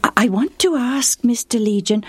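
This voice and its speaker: A woman's voice: fairly high pitch, moderate pace, breathy edge, no room sound, pitched above the performer's own voice. A woman playing a courteous, curious traveller man. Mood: eager. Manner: polite and formal.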